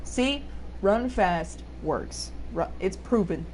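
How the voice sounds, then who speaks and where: A young woman talks through her game stream in an animated, joking way, her voice fairly deep for a woman. Her pitch jumps around a lot as she tells stories and swears offhandedly. There's a light hiss in the background. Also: slow pace. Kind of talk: casual gameplay chat.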